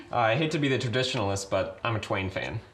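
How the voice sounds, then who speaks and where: A man's voice: fairly low pitch, fairly bright tone. A young man playing an awkward, bookish aspiring writer, stammering nervously and eagerly in a web series. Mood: giddy. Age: young adult. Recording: echoey room with noisy ambience.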